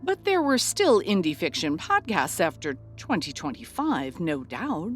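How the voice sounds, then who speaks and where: A woman's voice: lowish voice, big pitch swings, measured pace, soft background music. A woman playing a dogged, earnest podcast host in a scripted mock documentary, with a dramatic delivery. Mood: inquisitive.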